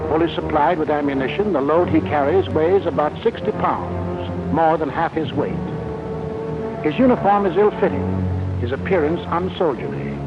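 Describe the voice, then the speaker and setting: An American man narrating a wartime propaganda film in a scripted, grave, authoritative and measured delivery. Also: on the high side. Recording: muffled 1940s film soundtrack, loud music. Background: loud film score.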